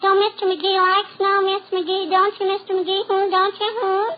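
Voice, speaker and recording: A woman playing an excitable, chattering little neighbor girl in a 1940s radio comedy, tacking eager little questions onto her sentences. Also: extremely high pitch, normal speed. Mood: eager, inquisitive. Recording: muffled, hissy 1940s radio recording.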